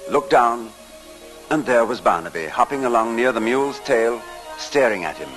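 A male storyteller with a medium-pitched voice narrates in classic old-time radio style. His delivery is dramatic and expressive, with music clearly playing behind him. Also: moderate pace, poor audio.

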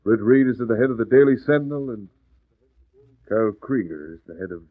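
A man's voice: lowish voice, expressive, fairly quick, slightly rough, no room sound. A man playing a crooked, scheming American businessman in a 1940s radio drama, brusque and slangy, blustering yet anxious. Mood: cocky, scheming. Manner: brusque, slangy, tough-talking. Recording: dry, tinny old radio recording.

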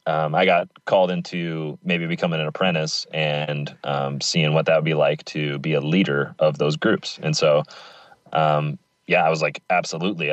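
A man speaks in an extremely low, disguised voice, earnest and halting with frequent ums. The sound is muffled and lo-fi, and the room is dry. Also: dry recording. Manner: earnest, hesitant with many ums. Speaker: podcast guest. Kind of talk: casual testimony.

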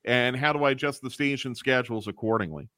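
A man's voice: medium pitch, fast talker, a bit bright, no echo. A man who runs a radio station's programming, talking casually on air and thinking aloud with restarts.

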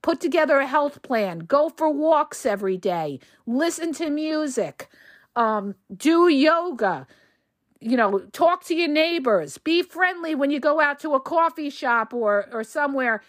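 A woman coach talks straight to her listeners, her voice hoarse from a cold. She pitches a little high for a woman and speaks emphatically, checking in with them often. The sound is dry and close, with no room around it. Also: a bit bright, dry recording. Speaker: relationship coach and podcast host. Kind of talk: casual advice monologue.

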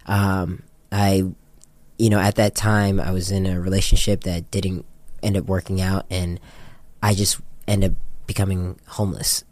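A man's voice: deep voice, flat delivery, a little slow, a little mellow, no echo. An American man speaking casually and candidly as an interviewed director, telling anecdotes with plenty of slang. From Japan. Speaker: interviewed music video director and photographer.

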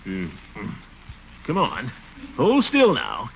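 An actor playing a blustery, put-upon middle-aged American commissioner in a 1940s radio comedy, with pompous, exasperated muttering. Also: male voice, medium pitch, huge pitch swings, drawn-out words, vocal fry, soft background music, full character voice. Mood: fussing, muttering. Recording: muffled, noisy old radio recording.

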